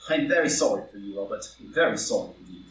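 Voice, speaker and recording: A man playing a witty, flippant gentleman friend in a stage drama reading, arch and theatrical, candid and quietly judging. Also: fairly low pitch, expressive, fast. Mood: concerned. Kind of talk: live group play reading, stage drama. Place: indoor room, live in-person group reading. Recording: roomy live recording, distant from mic.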